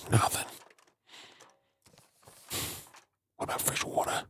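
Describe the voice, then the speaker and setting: An Australian man playing a gruff, wary zombie apocalypse survivor in a whispered ASMR role play, terse, urgent and husky. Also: a bit bright, close to an everyday voice. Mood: focused, relieved. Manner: terse, urgent, slow clipped phrases.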